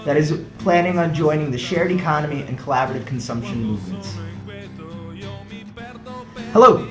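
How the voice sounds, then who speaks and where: A man, a startup co-founder giving an earnest pitch with rhetorical questions. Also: normal speed. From United States. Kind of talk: scripted pitch video. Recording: echoey room, faint music behind.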